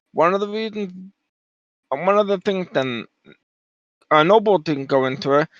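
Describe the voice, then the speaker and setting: A man explains things off the cuff, emphatically and in halting steps. His voice is somewhat high, caught on a dry, slightly dull mic. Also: a bit bright, no room sound. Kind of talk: unscripted explanatory commentary.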